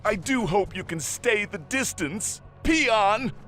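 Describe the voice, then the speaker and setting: An actor playing a haughty, taunting fallen holy warrior in a video game, speaking in a pompous, theatrical manner. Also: male voice, high voice, lively intonation, slow pace, pushed and bright, heavily acted. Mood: gloating. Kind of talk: video game character acting. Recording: game music behind.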